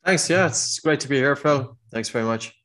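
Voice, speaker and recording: A man's voice: medium pitch, moderate pace, fairly bright tone. A middle-aged man with an Irish accent, a guest on a podcast, earnestly explaining his work with a few restarts. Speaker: guest, breathing teacher. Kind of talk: conversational interview answer.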